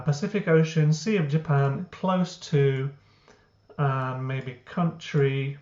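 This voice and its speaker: A man, the founder of a language app, walking through his software step by step in an explanatory way, with frequent uh and um. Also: a little high. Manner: explanatory, many uh and um fillers. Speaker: software founder giving a tutorial. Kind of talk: screen-recorded software walkthrough. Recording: roomy, dull lo-fi.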